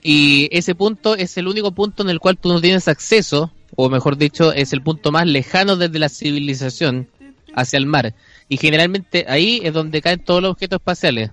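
A man's voice: on the high side, moderate pace, a bit bright. A man with a Chilean accent chatting casually and jokingly as a panelist on a radio show. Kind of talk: casual comedic banter.

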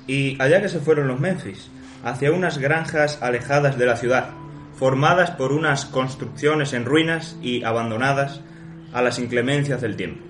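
A man's voice: medium pitch, normal speed, a bit bright. Guy narrating a fantasy radio play, dramatic storytelling, mid voice, echoey w music under it. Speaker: narrator, game master. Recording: echoey room with music behind.

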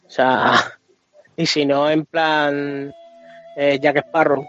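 A man's voice: fairly high pitch, level tone, drawn-out words, fairly bright tone. A man with a Spain Spanish accent chatting casually and animatedly about video games, in slangy, sweary speech. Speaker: podcast panelist. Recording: dull lo-fi audio, music behind.

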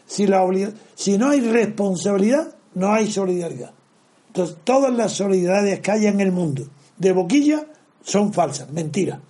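Emphatic high-voiced older man lecturing. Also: expressive, moderate pace, mellow. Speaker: radio commentator. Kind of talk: opinionated radio talk.

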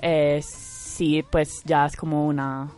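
A woman from the United States, a native Hebrew speaker with a foreign accent, chatting haltingly as an interview guest. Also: low voice, little pitch movement, darkish tone, soft background music. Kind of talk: conversational interview.

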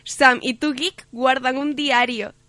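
A man putting on the voice of an excited, gossiping girl who has found a secret diary. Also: extremely high pitch, takes it slowly, dry recording, voice pushed up, exaggerated voice. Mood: gushing. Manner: squealing, breathless excitement.

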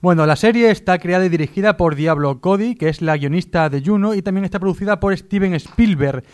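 Higher-voiced man hosting casual radio. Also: fairly quick. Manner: informal, jokey, rambling. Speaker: radio show host. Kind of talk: casual pop culture review.

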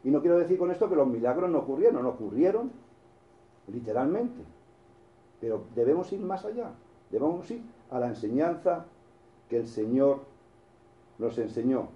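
Very dark-voiced Spanish-accented preacher. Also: male voice, medium pitch, lively intonation, moderate pace, pauses often, echoey room, highs cut off. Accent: Spain Spanish. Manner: storytelling with frequent restarts and asides to the congregation. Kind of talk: sermon.

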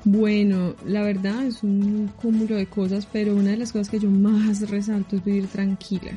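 A Colombian woman answering interview questions in a chatty, relaxed way, often laughing. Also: medium pitch, moderate pace, faint music behind. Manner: chatty, laughing, many restarts. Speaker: guest living abroad.

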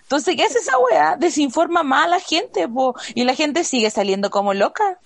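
A woman's voice: high voice, very animated, moderate pace, dry recording. A young Chilean woman co-hosting a podcast, chatting casually and ranting expressively in heavy slang with a Chilean accent. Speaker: podcast co-host. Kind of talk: casual chat.

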